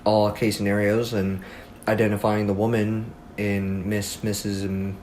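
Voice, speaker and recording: Guy with lowish voice, informal interview opinions, emphatic, bit of background noise. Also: level tone, measured pace, a little mellow. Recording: noticeable background ambient noise.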